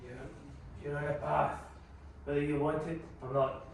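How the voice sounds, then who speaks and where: Man acting a grieving brother, higher-pitched, echoey. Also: little pitch movement, normal speed, vocal fry. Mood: sorrowful. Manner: sorrowful, accusing. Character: grief-stricken, accusing brother in his late thirties. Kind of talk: dramatic table-read acting. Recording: echoey room, distant mic, faint noise.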